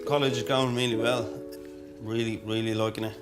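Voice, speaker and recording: A man speaks in a thick inner-city Dublin accent at a medium pitch, without big swings in tone. He talks informally about his own life, with faint music behind him. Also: level tone, brisk. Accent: thick inner-city Dublin Irish. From Dublin, Ireland. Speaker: client of a homelessness charity. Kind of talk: informal personal testimony to camera.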